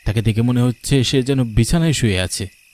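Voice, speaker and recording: A man's voice: medium pitch, brisk, a little mellow. A man narrating a scripted cartoon folk tale as a dramatic storyteller. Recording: music behind the voice.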